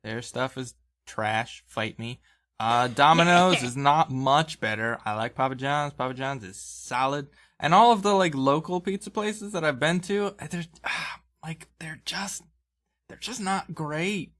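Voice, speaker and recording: A man's voice: fairly high pitch, measured pace, a bit bright. Casual man hosting a game podcast. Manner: informal, conversational. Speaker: podcast host and dungeon master. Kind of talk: casual banter and game recap.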